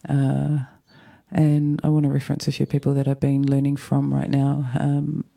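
Croaky, very dark-voiced New host. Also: mid-range voice, monotone, normal speed. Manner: meandering, thoughtful, soft-spoken. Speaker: radio host. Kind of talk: casual reflective solo talk.

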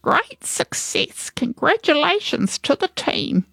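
A woman's voice: high-pitched, very animated, slow, vocal fry, fairly bright tone, no echo, voice pushed up, heavily acted. A woman voicing a bold old granny who leads a gang, commanding and rallying, in a storybook verse. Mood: pleased.

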